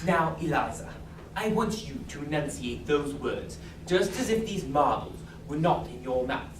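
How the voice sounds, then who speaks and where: A woman's voice: low voice, expressive, moderate pace. A woman playing a bossy, superior male professor in a stage musical, drilling commands in a clipped manner. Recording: echoey stage, mic far off, noise in the background.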